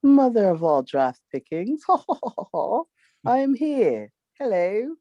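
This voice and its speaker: Playful co-host with lively pitch. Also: female voice, drawn-out words, no echo, lo-fi sound. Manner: playful, laughs often. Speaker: podcast co-host. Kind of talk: casual chat.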